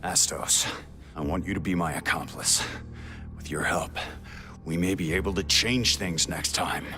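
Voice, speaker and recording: An actor playing a gruff, weary, rebellious warrior with a soft spot in a video game, gravelly and terse. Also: male voice, low-pitched, fairly even intonation, soft background music. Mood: earnest. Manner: terse, unhurried, understated. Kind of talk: cinematic video game acting.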